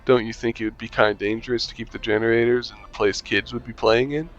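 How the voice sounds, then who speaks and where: A man reading a visual novel aloud as a gamer, voicing narration and the player in a relaxed, wry way and muttering while he plays. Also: medium pitch, fast talker. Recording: lo-fi mic with faint music.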